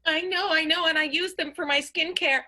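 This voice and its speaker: An actress playing a frightened, overwrought diva wife in an improvised radio melodrama. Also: female voice, extremely high pitch, steady tone, a little fast, bright, forceful tone, full character voice. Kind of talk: improvised radio play, old-time melodrama.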